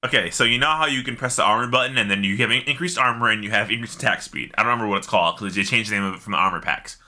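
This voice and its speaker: A man co-hosting a casual gaming chat, animated and slangy, swearing casually as he talks. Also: fairly low pitch, racing. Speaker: podcast co-host.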